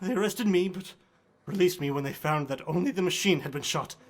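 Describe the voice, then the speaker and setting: A man's voice: high voice, fairly quick, lightly acted. An actor playing a distraught, haunted male asylum patient, pleading and agitated, in a radio horror drama. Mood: shaken, bitter.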